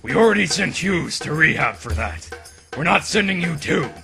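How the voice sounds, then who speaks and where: A man playing a brash, hot-tempered, miniskirt-obsessed officer in a comedic anime parody dub, gravelly, swaggering and given to melodramatic, sweary exclamations. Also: fairly high pitch, lively intonation, normal speed. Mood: indignant. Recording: music behind the voice.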